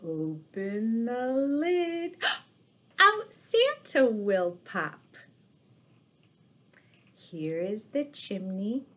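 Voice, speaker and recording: Slow-paced woman reading to young children. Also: a little high. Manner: animated, drawn-out delivery with sound words and gasps. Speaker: children's storytime host dressed as Mrs. Claus. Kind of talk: read-aloud storytime for young children. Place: living room indoors by a fireplace. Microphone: webcam at arm's length or further.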